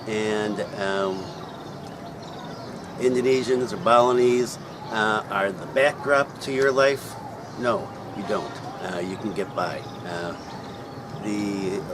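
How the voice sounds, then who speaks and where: A middle-aged man explaining things casually to viewers, pausing often with ums and uhs. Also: medium pitch. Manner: hesitant, many ums and uhs. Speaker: vlogger answering viewer questions. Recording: loud outdoor traffic noise.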